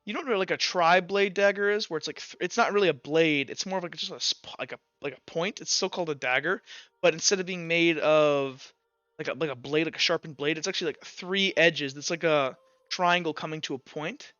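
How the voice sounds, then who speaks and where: A man working as game master, improvising tabletop game narration in halting, repetitive, casual phrases. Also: a little high, pushed and bright, no room sound, muffled recording.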